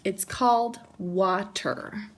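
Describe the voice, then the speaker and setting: A woman's voice: medium pitch, steady tone, slow pace. A woman voicing a firm, sensible mother bear in a children's storybook, speaking in a no-nonsense way. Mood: matter-of-fact. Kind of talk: children's storybook read-aloud.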